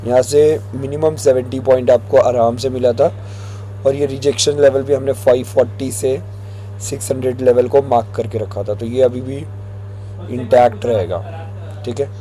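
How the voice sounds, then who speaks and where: A man with a hoarse throat, walking viewers through stock chart levels in a relaxed tutorial, mixing in English phrases. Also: medium pitch, moderate pace, faint music behind, low-quality recording. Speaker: stock market analyst.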